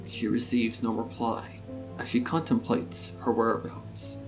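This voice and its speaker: A man reading scripted dramatic story narration in a measured, solemn manner. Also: mid-range voice, level tone, low-quality recording. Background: guitar music.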